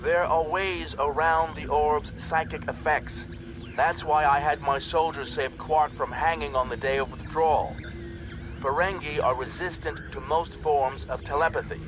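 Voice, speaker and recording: A man's voice: a little high, fairly even intonation, a little slow, noisy background, pitched above the performer's own voice, natural voice. A man voicing a harsh, commanding female alien scientist in an audiobook, clipped and explanatory. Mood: grim, businesslike. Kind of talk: audiobook character acting.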